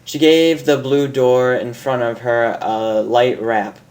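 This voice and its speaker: A man's voice: medium pitch, flat delivery, takes it slowly, darkish tone. A man narrating a story as an amateur host, informal, stumbling over lines and correcting himself. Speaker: fan fiction reader and host.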